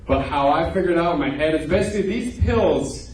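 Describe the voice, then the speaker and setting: A man's voice: fairly high pitch, lively intonation, brisk, a little mellow. A man in his twenties performing a stand-up set in a loose, conversational way, with self-deprecating punchlines. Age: 20s. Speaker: stand-up comedian. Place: comedy club stage before a live audience. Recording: echoey live room, distant mic, audience noise.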